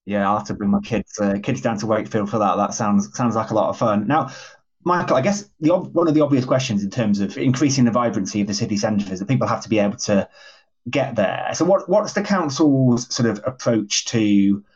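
A man interviews a guest with chatty, rambling questions. His voice is low and somewhat husky. The audio sounds dull and muffled. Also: brisk.

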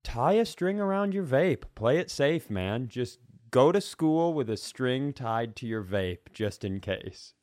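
A man's voice: medium pitch, animated, unhurried, few pauses, a little mellow, dry recording. Man joking in a dry-sounding room. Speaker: comedy podcast co-host. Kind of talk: joking casual chat.

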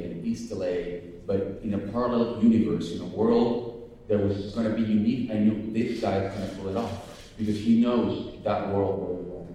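A man from Venezuela answering at a press conference, halting and searching for words with many restarts. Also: lowish voice, warm tone. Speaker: film panelist. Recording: echoey hall from far off.

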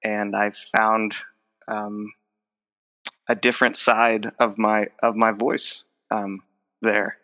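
A man's voice: fairly low pitch, level tone, slow, long pauses, sharp tone. A man in his forties, a speech professor who stutters, repeating words and restarting as he answers interview questions. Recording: long hall reverb.

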